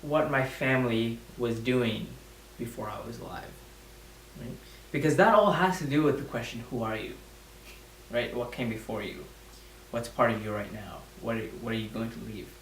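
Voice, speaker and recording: A man's voice: medium pitch, normal speed, a bit bright, slight background noise. Thoughtful young man in an echoey room. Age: young adult. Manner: thoughtful, ends points with "right?". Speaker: student interviewee. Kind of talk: reflective interview answer.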